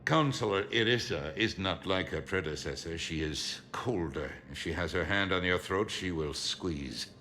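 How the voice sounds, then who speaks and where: A very deep, forceful voice for a proud older statesman in a game. He speaks formally and with measured rhetoric, stern and resolute, over a faint background noise. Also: expressive, full character voice. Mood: grim, businesslike. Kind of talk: video game dialogue.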